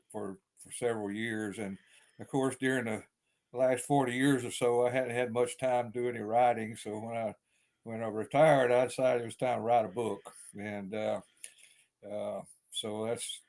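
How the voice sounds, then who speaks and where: An older man with a deep East Texas accent, an author chatting as a guest in an interview, rambling with many fillers and restarts. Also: a little mellow. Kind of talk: casual interview chat.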